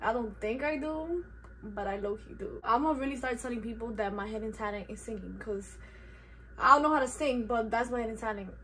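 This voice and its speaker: A teenage girl from New York with a New York accent, Spanish her first language, chatting in a relaxed, slangy way in a bedroom vlog Q&A. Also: a little high, brisk, slight background noise, rough recording. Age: teens. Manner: laid-back, slangy, self-teasing. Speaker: YouTuber. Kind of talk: casual Q&A vlog. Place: bedroom, indoors.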